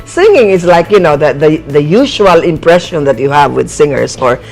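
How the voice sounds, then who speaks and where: An older woman from Cebu with a Visayan accent and a low, husky bedroom voice, chatting poised and unhurried as a guest actress. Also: animated, a little mellow, soft background music. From Cebu, Philippines. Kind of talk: casual interview chat.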